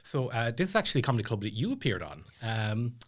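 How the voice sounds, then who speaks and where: A man's voice: mid-range voice, very animated, fast talker. A man with an Irish accent telling stories casually as a podcast guest, chatty and self-deprecating, like a stand-up comedian off stage. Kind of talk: casual storytelling chat.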